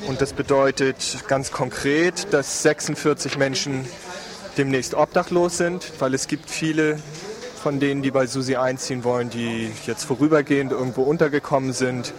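A man's voice: mid-range voice, fairly even intonation, moderate pace. A man speaking spontaneously as a member of a housing initiative in an interview. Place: outdoors on a town square. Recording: outdoor street interview with crowd and traffic.

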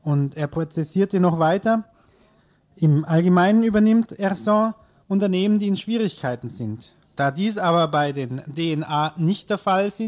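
A male radio reporter with a fairly high-pitched voice reads a prepared news report. The delivery is scripted and informational, built on full written sentences. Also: dull audio.

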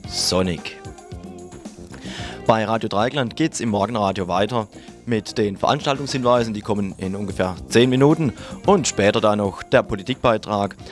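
A man's voice: lowish voice, normal speed. A man hosting morning radio, making informal live announcements. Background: guitar music.

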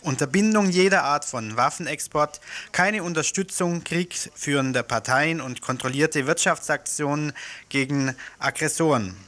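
A man presenting a local radio info show, reading event notices and an appeal in an earnest, steady way with small slips. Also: fairly high pitch. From Germany.

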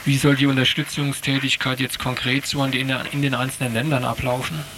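A man's voice: mid-range voice, flat delivery, brisk, background noise. A man working as a radio interviewer and reporter.

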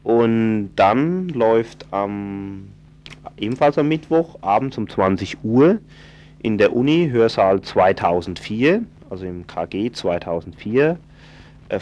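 A man presenting a radio events calendar, reading the listings in an unhurried, hesitant way with fillers and self-corrections. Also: on the low side, expressive, darkish tone.

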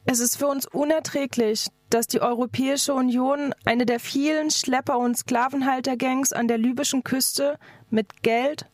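High-voiced woman giving an activist speech. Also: steady tone, normal speed, no echo, clean studio sound. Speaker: activist speaker. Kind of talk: scripted political rally speech.

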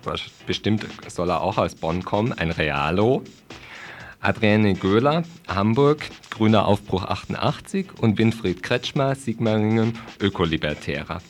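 A man's voice: fairly low pitch, expressive, a little slow. A man presenting radio event listings, reading in a wry, ironic manner. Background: music.

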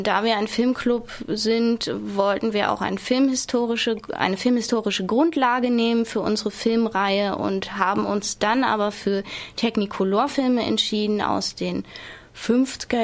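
Female interview guest, mid-range voice, organised and factual delivery, radio studio. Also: mid-range voice. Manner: clear, structured, matter-of-fact explaining. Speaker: film club member, interview guest.

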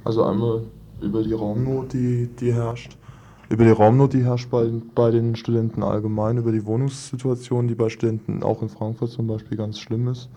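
Very dark, flat-voiced young man. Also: medium pitch, moderate pace. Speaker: student activist guest. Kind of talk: spontaneous interview answers.

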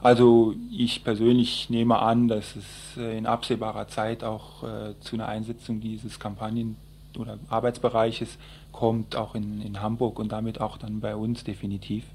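A man giving spontaneous, explanatory answers in a radio interview, speaking in a hesitant, halting way. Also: fairly low pitch, monotone, a little mellow, a little hiss or hum. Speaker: environmental group activist being interviewed.

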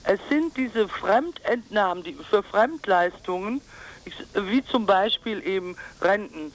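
A woman giving a political radio interview as an advocate, emphatic and insistent, sometimes halting. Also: medium pitch, expressive, low-quality recording. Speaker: pensioners' advocacy group board member, interviewee.

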